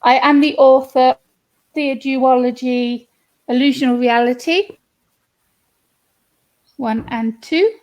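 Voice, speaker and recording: A British woman from the UK speaks on a video call as a guest author. Her voice is high and her pace unhurried. She talks easily, in a casual way. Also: a little mellow. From United Kingdom. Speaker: author and podcast host. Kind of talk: casual panel talk. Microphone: video call with a bad connection.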